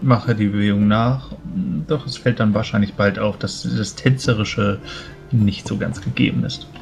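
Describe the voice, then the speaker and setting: A man's voice: lowish voice, fairly even intonation, moderate pace, creaky. A man describing his character's actions as a player in a tabletop roleplaying game. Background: soft music.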